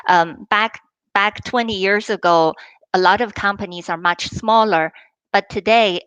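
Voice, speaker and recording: A woman, a fund manager from China, answering in a measured, explanatory way over a remote call. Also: medium pitch, fairly bright tone, clear, resonant tone, no echo, rough recording. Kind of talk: webinar interview answers. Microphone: remote call line.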